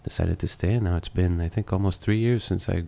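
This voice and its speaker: A man from Israel, a musician, chatting easily as a podcast guest at a friend's home, agreeable and quick to laugh. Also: deep voice, fairly even intonation, creaky, mellow. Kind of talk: casual interview chat. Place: host's home.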